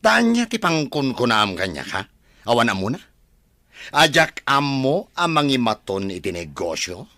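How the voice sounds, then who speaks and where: A man's voice: medium pitch, very animated, normal speed, sharp tone, no echo, clean studio sound. A man voicing a scheming, scornful young man in an audio drama who pays thugs to do his dirty work.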